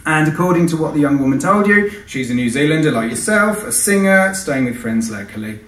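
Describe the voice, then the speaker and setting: A man voicing an older policeman who speaks deliberately and condescendingly, as if to small children. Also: on the high side, animated, a bit bright, voice pushed up. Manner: deliberate, as if addressing kindergarten. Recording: echoey hall, distant microphone.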